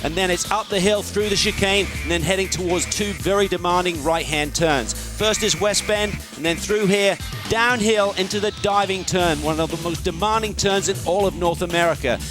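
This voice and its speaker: High-voiced man describing a race track. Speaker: race analyst. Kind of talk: scripted track description voice-over.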